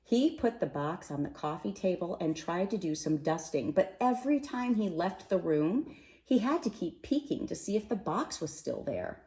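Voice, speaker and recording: Lady doing a kids book read-aloud, lowish voice, really lively up and down. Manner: animated, dramatic pauses and emphasis, addressing young listeners. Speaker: storybook reader. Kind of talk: expressive read-aloud of a children's picture book. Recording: roomy, echoey sound.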